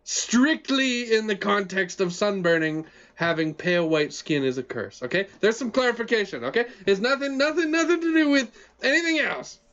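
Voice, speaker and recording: A man's voice: high-pitched, expressive, normal speed, few pauses, fairly bright tone. A man hosting a casual podcast chat, joking, laughing often and protesting in playful banter. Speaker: podcast host. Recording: dull lo-fi with faint noise.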